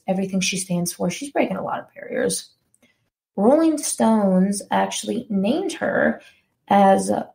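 A young woman hosts a solo music podcast in a chatty, eager way, stopping to correct herself as she goes. Her voice sits at a middling pitch with a mellow, dark tone and a noticeable gravelly fry. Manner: chatty, enthusiastic, self-correcting. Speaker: podcast host. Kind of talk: casual solo podcast talk.

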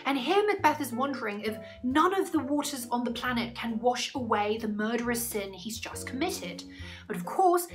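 Higher-pitched young woman tutoring, music behind. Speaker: English literature tutor. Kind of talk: scripted educational explanation to camera. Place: small indoor room, plain wall.

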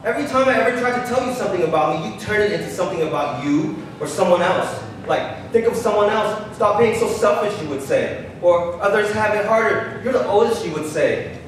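A young man playing the defensive, resentful grown son of a refugee mother in a staged play reading, sometimes halting. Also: high-pitched, expressive. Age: young adult. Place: large glass-walled hall, on stage. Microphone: handheld microphone through PA speaker. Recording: echoey, distant, noisy live stage recording.

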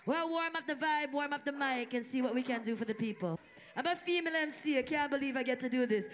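A woman's voice: sits high, fast. A woman from Singapore, an opening MC and rapper, hyping a live crowd on a club stage through a handheld mic. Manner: energetic, call-and-response with the audience. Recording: live concert PA with loud music. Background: loud music.

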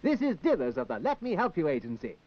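A man's voice: wildly swooping pitch, quick pace. An actor playing a flustered, eager-to-help young handyman in a 1960s children's puppet show, hesitant and prone to stammering. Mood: eager, musing. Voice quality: high-pitched. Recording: dull 1960s television film soundtrack, music.

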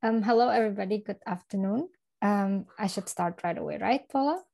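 A woman's voice: mid-range voice, animated, brisk, vocal fry, warm tone. A young woman from Indonesia, a university student, talking earnestly on a video call with plenty of ums. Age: young adult. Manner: earnest, conversational, frequent ums. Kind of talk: online info session talk.

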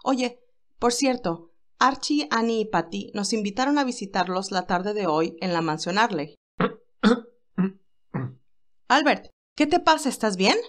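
A woman's voice: medium pitch, lively intonation, slow. A woman voicing an earnest, tender young nurse in an audio story, emotional and caring. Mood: bright, caring.

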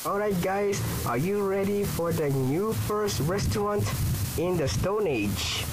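A man's voice: high voice, measured pace. A man voicing a bossy, exasperated young caveman restaurant owner in an amateur cartoon, with a stilted, emphatic delivery, on a hissy home recording.

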